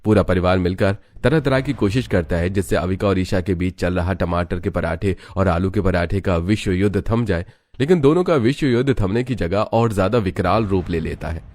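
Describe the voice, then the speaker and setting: A man's voice: low voice, normal speed, hardly any pauses, darkish tone, no room sound. A man narrating a scripted family story as its storyteller.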